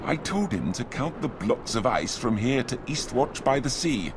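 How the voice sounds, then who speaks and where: A man's voice: mid-range voice, big pitch swings, normal speed, background noise, close to an everyday voice. An actor playing a surly, sour watchman in a fantasy video game, curt and sneering. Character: surly, sour male watchman nicknamed after a weasel. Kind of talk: video game cutscene acting.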